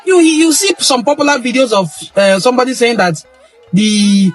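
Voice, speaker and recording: A man's voice: very high-pitched, lively intonation, moderate pace, soft background music. A middle-aged Nigerian man giving an impassioned monologue on history, emphatic and repeating phrases for stress. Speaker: cultural history commentator.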